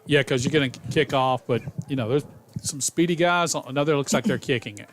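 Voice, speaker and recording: A man giving conversational, opinionated color commentary on a high school football radio broadcast. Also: slight background noise. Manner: conversational, opinionated, quick to react.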